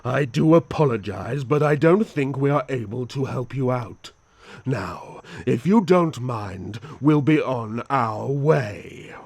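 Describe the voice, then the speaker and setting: A man playing a courteous but menacing vampire count, narrating his tale and speaking slowly, formally and calmly. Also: medium pitch, gravelly, dry recording, exaggerated voice. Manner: slow, formal, calm and politely threatening. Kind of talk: first-person storytelling and audio drama.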